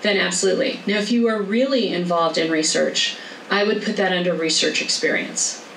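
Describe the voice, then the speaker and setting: A woman speaks in a brisk, chatty way as she leads a workshop. She is heard from a distance in an echoey lecture room. A faint hiss sits under her voice. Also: on the low side, fairly bright tone. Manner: brisk, chatty, laughs easily. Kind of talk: informal talk with Q&A.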